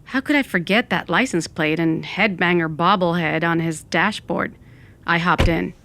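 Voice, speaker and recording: Woman acting a wry undead goth narrator, lowish voice. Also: moderate pace, a bit bright. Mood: wry storytelling. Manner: wry, dramatic storytelling. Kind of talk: first-person comic audio fiction narration.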